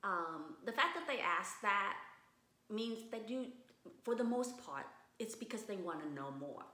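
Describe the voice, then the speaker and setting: A woman from Vietnam, a guest in a casual interview, speaking thoughtfully with many restarts and pauses. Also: mid-range voice, lively intonation, bright, forceful tone. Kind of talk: casual conversation. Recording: roomy echo.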